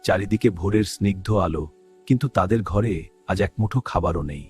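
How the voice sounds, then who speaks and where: Low-ish guy telling a cartoon fairy tale, soft music behind. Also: brisk, slightly rough. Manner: storytelling. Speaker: narrator. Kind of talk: scripted fairy-tale narration. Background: faint music.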